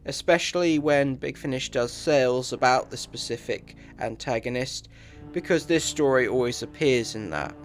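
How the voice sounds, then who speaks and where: Man reviewing audio dramas over music. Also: mid-range voice.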